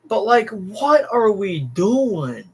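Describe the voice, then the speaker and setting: A man's voice: high-pitched, sing-song, drawn-out words, slightly rough. A young American man ranting casually and emphatically as a livestream host, repeating himself and swearing. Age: young adult. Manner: emphatic, repetitive, profane. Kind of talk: casual livestream rant to chat.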